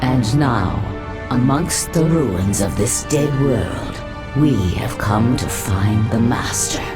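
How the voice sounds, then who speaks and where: A mysterious serpentine sorceress in a fantasy game. Her voice is fairly low for a woman, formal and portentous, with loud music behind it. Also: lively intonation, slow pace, full character voice. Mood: solemn. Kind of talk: dramatic fantasy game acting.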